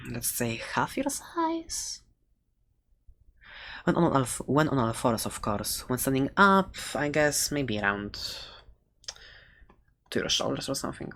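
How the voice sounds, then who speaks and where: A performer voicing a chatty, excitable dragon-egg shopkeeper and adventurer in a soft-spoken ASMR roleplay, playful and rambling with light sarcasm. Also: medium pitch. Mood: conspiratorial, thoughtful, then playful, sarcastic.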